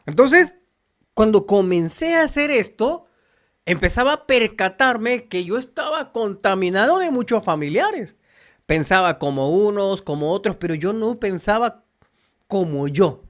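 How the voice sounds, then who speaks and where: A man's voice: high voice, very animated, unhurried. A man from El Salvador with a Salvadoran Spanish accent, giving an earnest, preachy spiritual monologue straight to his viewers. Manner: earnest, preachy, addresses listeners directly. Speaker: spiritual channel host.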